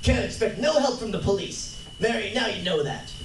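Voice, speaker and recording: A man's voice: high-pitched, animated, moderate pace, gravelly. A man playing a frightened, worried street beggar with folksy slang in a hammy live radio drama reading. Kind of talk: 1940s radio drama read at a live panel. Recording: roomy distant mic, noisy convention room.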